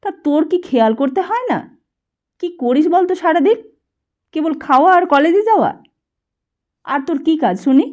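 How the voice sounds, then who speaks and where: Woman playing a worried mother, pleading and scolding, high lively voice, mellow tone, dull lo-fi sound. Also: normal speed, long pauses, natural voice. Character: worried hard-pressed mother, scolding her son.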